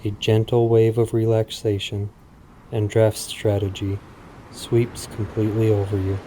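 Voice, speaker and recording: A man speaking in a soothing, measured, deliberate way with long pauses, as in a guided sleep meditation. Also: lowish voice, level tone, noisy background. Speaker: podcast host. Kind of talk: guided sleep meditation, parody hypnosis script.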